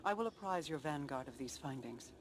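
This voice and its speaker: A man's voice: fairly high pitch, lively intonation, brisk, a little hiss or hum, lightly acted. A man playing a grave, commanding figure in a video game, speaking formally and portentously. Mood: stern warning. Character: grave, commanding bearer of grim tidings. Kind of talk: scripted video game dialogue.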